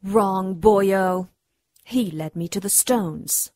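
Husky, seductive demon queen. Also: medium pitch, drawn-out words, dark tone, no echo. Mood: haughty, mocking. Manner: honeyed coaxing turning to haughty command. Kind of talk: radio drama acting.

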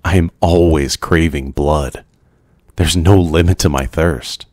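A man's voice: rumbling low, fairly even intonation, measured pace, a little mellow, dry recording, studio quality. A man playing a lonely, courteous immortal vampire in an intimate ASMR roleplay, speaking formally and hesitantly. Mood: regretful, brooding.

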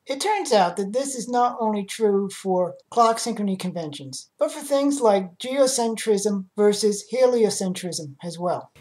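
A woman narrating a scripted slide lecture in a formal, measured reading manner. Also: mid-range voice, expressive, warm tone.

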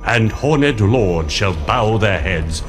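An actor playing a solemn narrator who recites a dark fantasy game prophecy in a grave, measured, ominous manner. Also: male voice, fairly low pitch, expressive, soft background music. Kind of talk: scripted, portentous prophecy narration.

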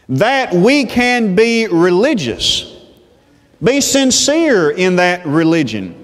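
Male preacher, thirties, high voice, unhurried sermon delivery, lapel mic in a reverberant sanctuary. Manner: slow, deliberate pulpit delivery. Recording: long church reverb.

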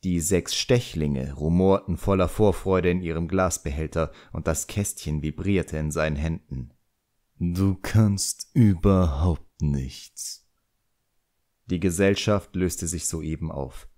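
Male audiobook narrator, low register, for scripted fantasy storytelling. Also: moderate pace, hardly any pauses, a little mellow.

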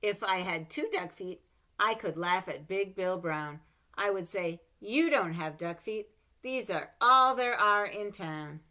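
A middle-aged woman reading a children's picture book aloud at home, expressive and animated, with playful asides between pages, recorded on a webcam. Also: mid-range voice, a little slow. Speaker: storybook reader. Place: home room with bookshelves. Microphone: webcam at desk.

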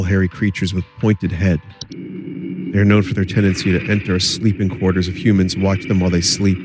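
A man with a fairly low voice streams a game. He reads entries aloud and comments as he goes, with music softly behind him. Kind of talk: live game commentary, reading game text aloud.

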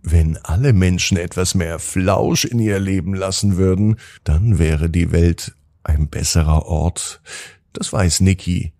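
A man's voice: low-pitched, measured pace, warm tone. A man narrating a children's bedtime story in a soothing manner, drawing out words for emphasis.

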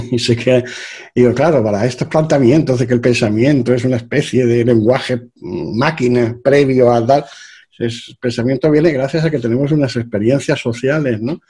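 Mellow, husky older man hosting. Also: mid-range voice, normal speed. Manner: reflective, ends points with "¿no?". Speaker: host, interviewer. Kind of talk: casual conversational interview. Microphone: video call webcam.

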